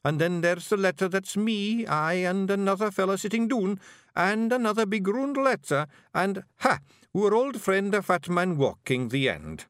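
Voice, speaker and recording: Give me a man doing a cocky little Scottish fairy chief, loud and blustering. He bosses his clan around, his pitch leaps about, and the sound is close and dry. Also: lively intonation, clear, resonant tone, no echo. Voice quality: broad, blustering, quick to snap. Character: boastful little male fairy clan leader.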